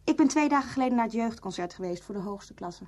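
An earnest teenage schoolgirl in a radio play, with a fairly high, husky voice. She is direct and lonely, with a quiet ambition. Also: animated, fast talker. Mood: earnest, confiding. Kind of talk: Dutch radio drama acting.

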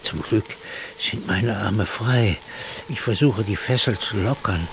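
Radio drama: a cerebral, musing narrator figure, measured and slow, slightly deep with a rough grain. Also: male voice, slight background noise. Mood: uneasy, dreamlike. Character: pensive man musing on mind, matter and dreams. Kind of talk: literary radio play monologue.

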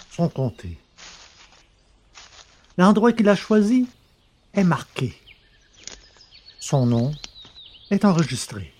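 A man narrating an old text as an audiobook in a solemn, declamatory, measured manner. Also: a little high, dark tone, a little hiss or hum.

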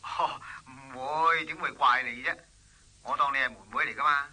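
An actor playing an earnest suitor, eager to please, in a Hong Kong Cantonese radio drama. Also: male voice, medium pitch, steady tone, normal speed, bright, forceful tone. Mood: relieved.

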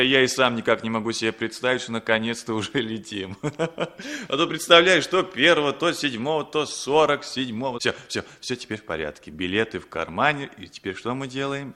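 Bright, animated young husband. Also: male voice, medium pitch. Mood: cheerful, animated. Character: Igor, affectionate grown son and husband, chatty and reassuring. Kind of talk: 1960s Soviet radio play acting.

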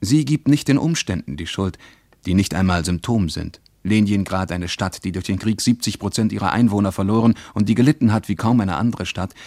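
A man reading scripted, essay-like radio narration with deliberate, rhetorical and emphatic phrasing. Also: fairly low pitch, a little mellow, dry recording.

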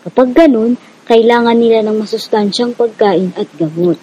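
An actress playing a caring, reassuring Filipino guerrilla medic in a radio drama, with a mellow, husky voice. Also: female voice, a little high, moderate pace. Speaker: rebel medic character. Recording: slightly dull lo-fi audio.

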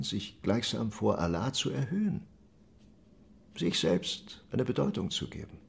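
An actor playing a reflective Ottoman envoy in a radio drama monologue, telling of travels in an expressive, deliberate, literary way, marvelling yet troubled. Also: low voice, creaky, exaggerated voice. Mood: troubled, confessing.

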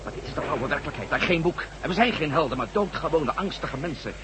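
A man's voice: on the high side, lively intonation, quick pace, lightly acted. A man acting in a Dutch science-fiction radio drama as a weary, anxious ex-astronaut with an earnest, dramatic delivery. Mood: grave, desperate. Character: weary, anxious male ex-astronaut on a desperate mission. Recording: very noisy old radio drama recording.

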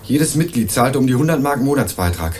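An actor playing an earnest young male student investigator in a radio crime drama, narrating and questioning in a measured, dry way. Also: medium pitch, animated, darkish tone, slight background noise, natural voice. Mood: earnest, probing. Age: young adult. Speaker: narrator and lead character.

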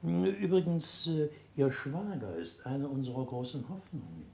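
Radio drama official: polite, regretful, evasive, husky with lively intonation. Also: male voice, on the high side, exaggerated voice. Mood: courteous, advising. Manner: formal, courteous, measured. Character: polite, formal high state official, regretful but evasive. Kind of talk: 1960s German radio drama acting. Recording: 1960s radio drama recording.

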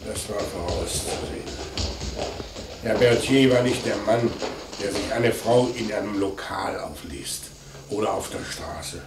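An actor playing a calm, methodical police commissioner in a vintage radio crime play, terse and probing. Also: male voice, fairly low pitch, a little slow, over music. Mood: quietly reflective. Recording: echoey, noisy old radio recording.

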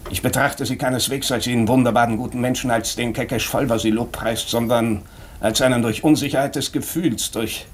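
Male actor as probing radio-drama doctor: earnest, insistent, medium-pitched, faint noise on the recording. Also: lightly acted. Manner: urgent, insistent, deliberate rhetorical questioning. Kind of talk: German radio drama acting.

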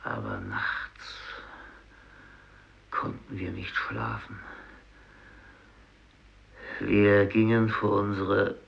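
Doomed fisherman, grave, very slow measured delivery, dull lo-fi radio drama recording. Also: on the low side, big pitch swings, creaky, full character voice. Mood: grave, resigned. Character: grave Japanese fisherman, narrating his own burial, doomed. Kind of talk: 1950s literary radio play monologue.

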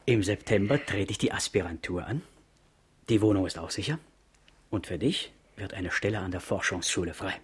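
Slightly husky, self-assured male schoolteacher. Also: deep voice, moderate pace. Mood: pleased, confident. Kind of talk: 1970s German radio drama acting.

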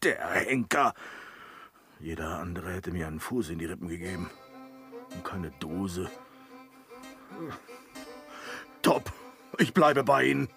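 Raspy, blustering comic manservant. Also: male voice, deep voice, lively intonation, moderate pace, pauses often, fairly bright tone, faint music behind, heavily acted. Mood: surprised, won over. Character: indignant, cheeky, mercenary manservant, comic and blustering. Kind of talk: German radio drama comic acting.

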